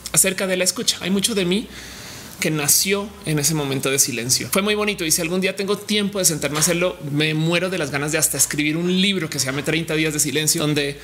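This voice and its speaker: A bright, forceful-sounding woman in her thirties talks straight to the camera, expressive and chatty with playful asides. Her voice comes through a camera mic in a home room, with a faint hiss behind her. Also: sits low. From Colombia. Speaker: vlogger. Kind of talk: candid personal vlog monologue. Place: indoor home studio room with shelves.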